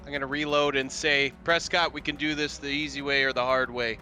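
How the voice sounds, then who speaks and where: A man's voice: medium pitch, little pitch movement, a little fast, pushed and bright, faint music behind. A man chatting casually as a player in a tabletop roleplaying game, describing what his character does.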